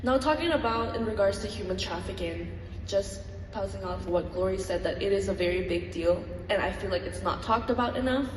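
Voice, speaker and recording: A woman's voice: mid-range voice, expressive, brisk, a little hiss or hum. Brief: woman in her twenties, earnest student talk, distant mic, roomy reverberant hall. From Malaysia. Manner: earnest, reflective, with light laughs. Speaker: student speaker. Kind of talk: personal talk to an audience. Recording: echoey hall from far off.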